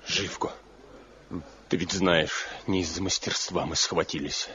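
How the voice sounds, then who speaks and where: An actor playing a proud, anguished master craftsman in a Soviet radio play, speaking gravely and dramatically. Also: deep voice, fairly even intonation, unhurried, bright, forceful tone. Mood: anguished pleading. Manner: grave, solemn, dramatic delivery. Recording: dull, muffled 1970 radio play recording.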